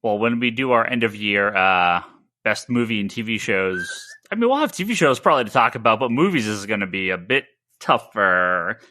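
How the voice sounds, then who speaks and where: A man's voice: on the low side, expressive, moderate pace, sharp tone, no echo, studio quality. A man hosting a casual comics news podcast, talking emphatically and often repeating words for emphasis. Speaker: podcast host.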